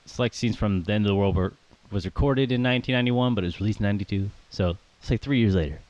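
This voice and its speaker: A man's voice: fairly low pitch, rapid-fire, a little mellow, muffled recording. A man co-hosting a casual podcast about music, rambling with plenty of fillers and little laughs. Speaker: podcast co-host.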